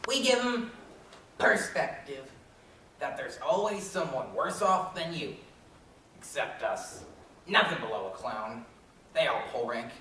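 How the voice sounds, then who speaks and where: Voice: medium pitch, pushed and bright, heavily acted. A performer playing a wry, downtrodden circus clown in a readers theater monologue. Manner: wry, joking. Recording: echoey hall, distant, faint noise.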